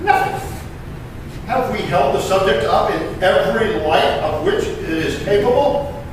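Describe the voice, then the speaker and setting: An impassioned patriot statesman of the 1700s, played by a man, delivers grand, declamatory oratory in a high male voice. He takes his time, with weighty pauses. The sound is distant and very echoey, with clear noise throughout. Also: lively intonation. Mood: indignant, challenging. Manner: declamatory, emphatic, deliberate pauses. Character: impassioned 18th-century American patriot statesman orating. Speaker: living historian performer. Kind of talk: dramatic historical oratory reading. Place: historic courthouse room. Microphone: distant microphone.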